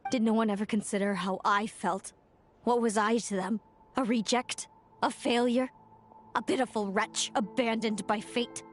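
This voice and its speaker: A woman's voice: fairly high pitch, fairly even intonation, moderate pace, lightly acted. A woman voices a fae older sister from a fantasy game, bitter and tormented. She speaks like someone confessing an old wound, with theatrical weight. Music plays beneath her voice. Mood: anguished. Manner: dramatic, wounded, confessional delivery. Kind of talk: video game voice acting. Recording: music under the voice. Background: background music.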